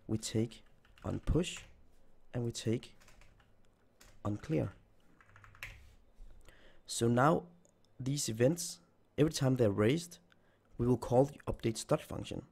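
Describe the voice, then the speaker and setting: A man's voice: medium pitch, takes it slowly, creaky, pauses often, a little mellow, breathy edge. A man who is nearly losing his voice, talking through a coding tutorial while he types. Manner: thinks aloud while typing code. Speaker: programming tutor. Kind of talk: screen-recorded coding tutorial.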